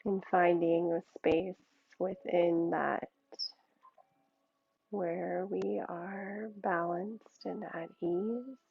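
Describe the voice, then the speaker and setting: Slow, low-voiced woman guiding yoga. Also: steady tone, vocal fry, husky, no room sound, phone-quality sound. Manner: soothing, unhurried, gentle pauses between short phrases. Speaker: yoga teacher. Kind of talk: guided meditation, yoga class instruction.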